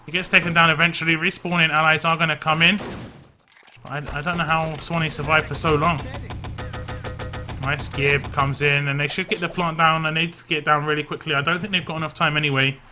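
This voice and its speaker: A man casting a video game match live, tired and losing his voice, with frequent fillers and quick self-corrections. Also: a little high, little pitch movement, sharp tone, faint music behind. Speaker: esports caster.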